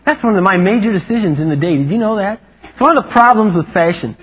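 Husky high-voiced man preaching. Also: fast talker. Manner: animated, playful, acts out quotes in higher voice. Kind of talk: lively sermon with humor. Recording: muffled lo-fi recording.

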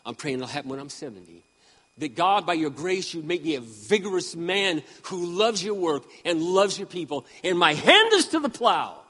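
A man around sixty preaching a sermon with impassioned emphasis, swinging between loud peaks and quiet asides. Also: fairly high pitch, sing-song, moderate pace, long reverb tail. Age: 60s. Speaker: pastor.

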